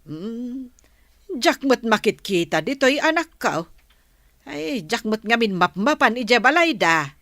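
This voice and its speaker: A woman's voice: fairly high pitch, very animated, measured pace, fairly bright tone, dry recording, studio quality, heavily acted. An actress with a Filipino accent playing a concerned, chatty mother asking questions in a radio drama. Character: concerned mother on a phone call.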